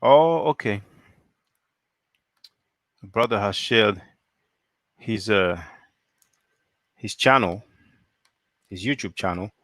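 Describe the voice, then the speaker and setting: A man's voice: fairly low pitch, lively intonation, slow, pauses often, a bit bright, no room sound, clean studio sound. A man from France hosting a solo live stream, reading viewer comments and asking pointed rhetorical questions.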